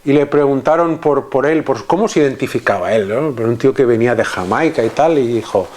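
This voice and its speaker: Halting Spanish man from Catalonia. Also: medium pitch, darkish tone. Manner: halting, many restarts and fillers. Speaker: guest. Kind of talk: casual conversation.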